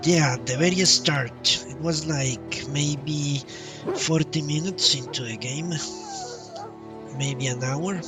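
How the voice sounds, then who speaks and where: A man giving casual live gameplay commentary as a streamer, halting and musing as he goes. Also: on the high side. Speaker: game streamer. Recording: loud game music, distant-sounding voice. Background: game music.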